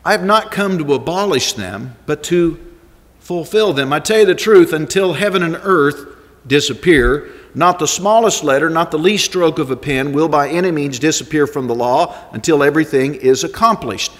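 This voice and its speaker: A man preaching an expository sermon, reading scripture and teaching it in an emphatic, deliberate way with rhetorical questions. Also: a little high. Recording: long echoing reverb of a hall.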